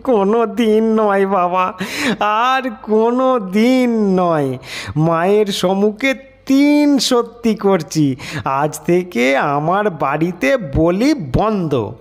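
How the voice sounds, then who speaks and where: A man's voice: high-pitched, animated, takes it slowly, voice pushed up, exaggerated voice. A man voicing a stout, cowardly older householder in an audio story, pleading in a tearful, quavering, imploring way. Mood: tearful, submissive.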